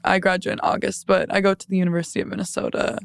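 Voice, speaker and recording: Young woman with a gravelly voice. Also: mid-range voice, rapid-fire, no room sound, studio quality. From United States. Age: 20s. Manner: relaxed, heavy use of "like", laughs easily. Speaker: guest musician. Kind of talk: casual interview chat.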